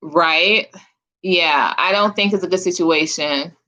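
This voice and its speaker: Live-stream host, woman, 40s, bright tone, casual and chatty, speaks straight to her viewers. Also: on the low side, slow pace, poor audio. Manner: chatty and informal, addresses viewers as sis and honey.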